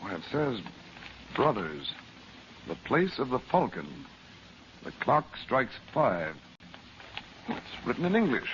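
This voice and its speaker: A man's voice: medium pitch, big pitch swings, slow pace, pauses often, a bit bright. A man playing a resolute, authoritative uncle and adventurer hero in an old radio serial, earnest and theatrical. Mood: intrigued. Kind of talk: 1930s-40s radio adventure serial acting. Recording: muffled, very noisy old radio recording.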